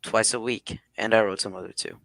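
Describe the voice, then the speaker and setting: An earnest young sweetheart played by a man in a radio play. His voice is fairly low but bright, recorded dry, with a devoted, eager-to-please manner. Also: steady tone, brisk, creaky, no echo. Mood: tender, reassuring. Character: earnest, devoted young male lover, obedient and reassuring. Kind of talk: old-time radio drama acting.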